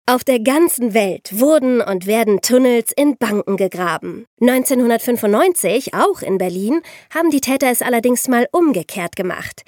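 A woman hosting a podcast, telling true-crime stories in a conversational way and addressing listeners directly, like an animated storyteller. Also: on the high side, dry recording, clean studio sound. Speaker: podcast host.

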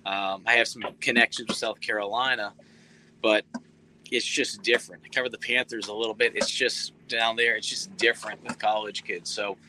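A man in his thirties, a sports reporter, talking earnestly in a relaxed interview with many fillers. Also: fairly low pitch, normal speed, slight background noise, rough recording. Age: 30s. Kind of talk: casual interview talk.

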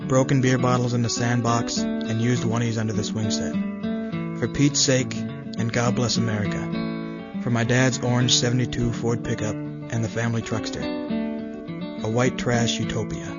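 A man's voice: medium pitch, moderate pace. A man reading a poem aloud in an emphatic, wry way. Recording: dull recording with loud guitar music.

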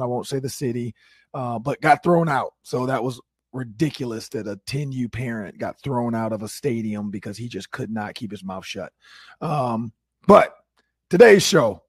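A man hosting a sports talk show, his voice hoarse from shouting, talking energetically. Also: mid-range voice, no room sound, clean studio sound. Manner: animated, energetic opinions. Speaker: host.

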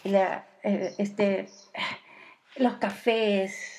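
A woman's voice: mid-range voice, fairly even intonation, drawn-out words, slight background noise. Rambling woman radio host. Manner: warm, rambling questions with hesitations. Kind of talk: radio interview.

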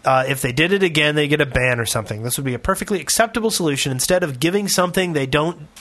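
Male podcast co-host, raised register and sharp bright tone, conversational read-aloud delivery. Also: a little high, lively intonation, very fast. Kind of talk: reading listener email aloud, casual comment.

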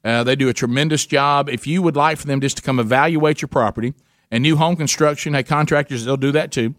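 A man's voice: medium pitch, fast, no room sound, clean studio sound. A man hosting a radio talk show, joking with co-hosts and reading live ads. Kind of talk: casual radio banter and live ad reads.

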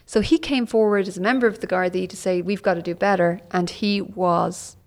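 An Irish woman author being interviewed on a podcast, recounting events in an animated storytelling manner. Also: fairly low pitch, brisk. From Ireland. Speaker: author guest.

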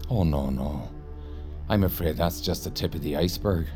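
Audio fiction role: low-pitched male interrogator, condescending, quietly menacing, faint music beneath. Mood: calm, condescending. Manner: measured, condescending. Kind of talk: naturalistic audio fiction acting.

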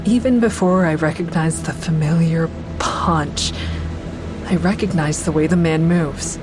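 An actress playing a bitter, grieving mother who is cold and unforgiving toward her ex-husband, in an audio drama with intense, deliberate delivery. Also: low voice, over music. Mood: somber narrating. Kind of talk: full-cast audio drama, first-person narration and dialogue. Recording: clearly noisy outdoor traffic ambience.

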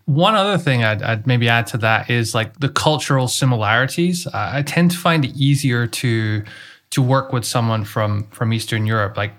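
Man, podcast host, mid-pitched voice, chatty, stumbles over repeated words. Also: normal speed, fairly bright tone. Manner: conversational, repeats words while searching for them. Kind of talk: casual interview talk.

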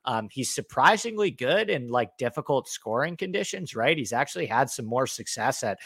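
Animated male golf analyst. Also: medium pitch, moderate pace, dry recording. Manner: animated, talks through picks with fillers. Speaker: podcast co-host, golf analyst. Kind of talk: casual sports betting chat.

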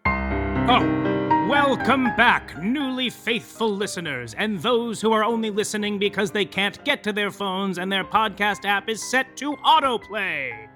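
An actor playing a theatrical, wry narrator of a comic serial, slipping from grand announcing into offhand asides. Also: male voice, sits high, expressive, unhurried. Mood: grandly theatrical. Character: theatrical, wry, self-aware male narrator of a Broadway saga. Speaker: narrator of a serial comedy podcast. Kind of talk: comic scripted narration addressed to listeners. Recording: faint music behind the voice.

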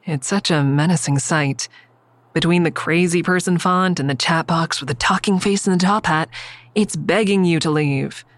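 Actress as a very low-voiced female narrator. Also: normal speed, dry recording, natural voice. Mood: wry, uneasy. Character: observant, wry, secretive woman narrating her own story. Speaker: narrator and lead character. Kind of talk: first-person audio drama narration.

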